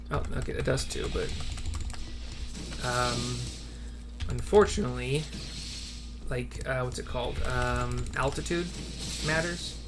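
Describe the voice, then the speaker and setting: A man's voice: medium pitch, creaky. A man giving casual let's play commentary, slangy and profane, thinking aloud as he plays. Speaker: gaming video host.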